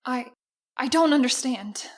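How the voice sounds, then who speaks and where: A woman acting a bitter, timid, easily hurt sister in a radio drama, with a halting, emotional delivery. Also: sits high. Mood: hurt, bewildered.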